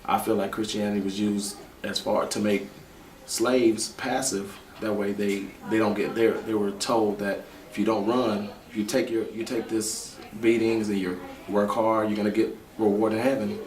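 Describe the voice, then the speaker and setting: A man's voice: on the low side, flat delivery, moderate pace, darkish tone. A man in his twenties giving long, earnest interview answers. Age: 20s. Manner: earnest, explaining at length. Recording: roomy echo from a distance with faint noise.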